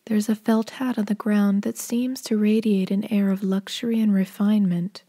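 A woman playing a calm game narrator, reading scripted lines in a measured, soft way. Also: medium pitch, a little hiss or hum, lightly acted.

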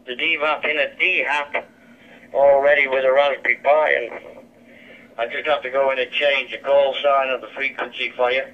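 A man's voice: medium pitch, level tone, normal speed. A man chatting bluntly and informally as a radio ham, heard through a digital radio transmission. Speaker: amateur radio operator. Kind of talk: casual two-way radio chat. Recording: dull digital handheld radio speaker.

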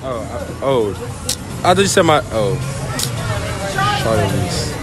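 A young man at an amusement park at night, slowly spelling a name out loud and getting confused. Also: fairly low pitch, sing-song. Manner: slow, careful spelling, gets confused. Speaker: passer-by. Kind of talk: casual street interview. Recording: music and crowd noise behind.

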